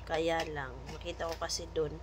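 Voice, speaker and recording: Filipina vlogger doing a casual unboxing, kinda low voice, noisy background. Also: female voice, flat delivery, moderate pace. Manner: informal, thinking aloud, mixing in English words. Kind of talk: casual unboxing vlog chat.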